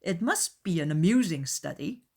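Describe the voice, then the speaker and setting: A woman voicing an amiable, impulsive young gentleman in a dramatized audiobook, speaking in an eager, courteous, emphatic way. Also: on the low side, lively intonation, moderate pace, gravelly, breathy edge, heavily acted. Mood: breezy.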